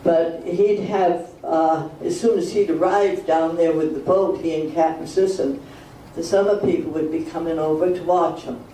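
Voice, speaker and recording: An older woman with a loud voice, sharing old family memories in a wry, chatty way. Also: lowish voice, steady tone, moderate pace. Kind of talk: oral history reminiscence. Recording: roomy hall from far off, faint noise.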